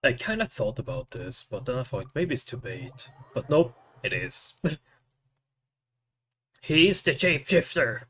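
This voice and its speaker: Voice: medium pitch, big pitch swings, slow pace, fairly bright tone, breathy edge. A game streamer reacting casually and with slang to gameplay.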